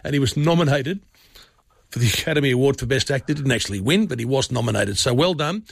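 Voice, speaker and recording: Brief: Australian male radio host with a scratchy, hoarse voice, mid-pitched and rambling, recorded dry. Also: expressive, fast, dry recording. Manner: rambling and chatty, with restarts. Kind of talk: casual radio talk.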